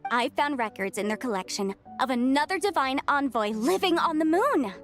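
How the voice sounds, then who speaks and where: A very high, animated young woman, bubbly and curious. She chats enthusiastically as a game character, her pitch leaping about over faint music. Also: normal speed. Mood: enthusiastic. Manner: bright-eyed, enthusiastic, conversational. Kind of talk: video game character acting.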